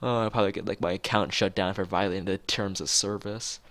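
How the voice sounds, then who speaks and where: A man's voice: low-pitched, steady tone, quick pace, a bit bright, no room sound. A man streaming a visual novel, reading the narration aloud and chatting casually with joking asides to viewers.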